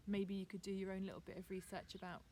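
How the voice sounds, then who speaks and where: A woman teacher with a received pronunciation accent gives a chatty, relaxed video lesson outdoors in her garden, with frequent ums. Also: mid-range voice, fast talker, very noisy.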